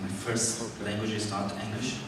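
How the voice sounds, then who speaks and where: A Brazilian man with a Portuguese accent reads his conference talk carefully, weighing each English word. His voice comes from well back from the microphone, with the long echo of a big hall around it. A steady hiss of room noise runs under him. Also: fairly low pitch. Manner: careful, deliberate, apologetic about his English. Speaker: conference speaker. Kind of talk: reading a prepared talk. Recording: echoey hall recorded from far off.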